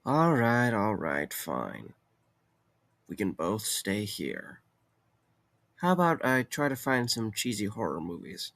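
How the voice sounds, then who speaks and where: A man's voice: fairly low pitch, sing-song, slow pace, creaky, long pauses, fairly bright tone, exaggerated voice. A man acting in an audio drama as a weary, wry young man with a casual, sardonic, rambling way of talking. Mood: placating.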